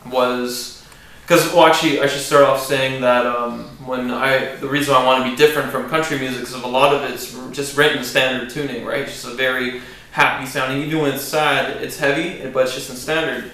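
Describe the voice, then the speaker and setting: A man with a deep voice, a country musician, talking casually about his song in a relaxed, rambling way with many fillers. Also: fairly quick. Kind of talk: casual interview talk. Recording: echoey room with faint noise.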